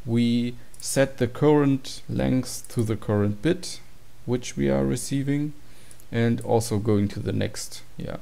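A man's voice: mid-range voice, slow pace, creaky, hardly any pauses, mellow. A man giving an informal, matter-of-fact tutorial walkthrough of program code. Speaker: tutorial presenter.

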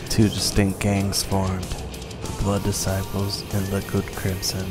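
A man playing a weary, bitter survivor who narrates a post-apocalyptic radio play in a grim, deliberate way. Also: deep voice, monotone, over loud music.

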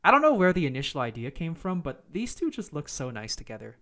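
A man's voice: a little high, wildly swooping pitch, fast talker, a bit bright. Man giving casual game review commentary. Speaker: video game review host. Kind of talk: conversational video commentary.

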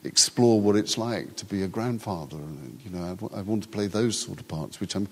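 A man's voice: on the low side, a little mellow, husky, long reverb tail. An older male actor whose first language is Urdu, talking reflectively in a live stage interview and often restarting his sentences. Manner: reflective, halting with many restarts. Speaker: actor guest.

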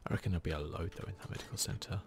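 A man commentates on a video game in a hushed ASMR style. His voice sits low with a marked vocal fry and often drops to a whisper. He is close to the microphone, with clear noise behind him. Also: fairly even intonation, very fast. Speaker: gaming video host.

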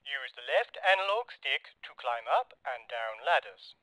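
A man's voice: medium pitch, sing-song, a little slow, sharp tone, no echo, rough recording. A man reading scripted help instructions for a video game tutorial aloud. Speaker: game developer recording placeholder help lines.

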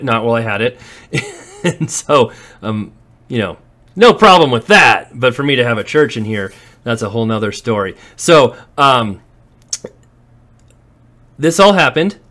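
A man's voice: mid-range voice, a bit bright. A man, a landlord, chatting casually as he walks through his properties on a handheld phone camera. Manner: chatty, storytelling, occasional laughs. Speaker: real estate investor and landlord. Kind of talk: walkthrough vlog narration. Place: walking through empty warehouses and outdoors.

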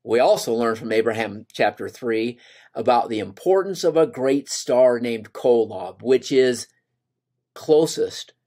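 A man's voice: medium pitch, animated, measured pace, dark tone. An older man teaching a scripture lesson from a home office on a webcam, earnest and emphatic as he quotes verses. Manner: earnest, voices scripture lines with rising emphasis. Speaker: gospel study teacher. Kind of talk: scripture lesson over slides.

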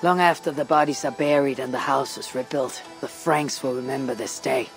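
An actress playing a calm, stoic and wry Viking raider hero in a video game, speaking in a measured way. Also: female voice, extremely low pitch, soft background music. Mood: solemn. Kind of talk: video game cutscene acting.

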